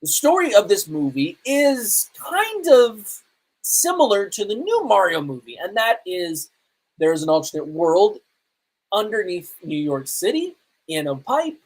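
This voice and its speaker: A woman's voice: mid-range voice, big pitch swings, unhurried, rough recording. A woman hosting a live podcast with casual, emphatic, opinionated commentary in a conversational way. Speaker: podcast host.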